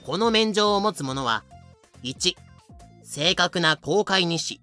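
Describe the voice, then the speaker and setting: A male narrator with a very bright, forceful voice, pitched a little high. He delivers scripted comedy narration in an explanatory way, slipping in dry sarcastic remarks. Music plays behind him. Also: animated, slow pace.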